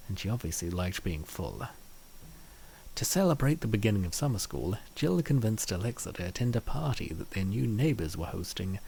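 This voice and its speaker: Male narrator for a comic dub: lowish, gravelly voice, scripted storytelling, faint noise behind. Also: darkish tone, poor audio.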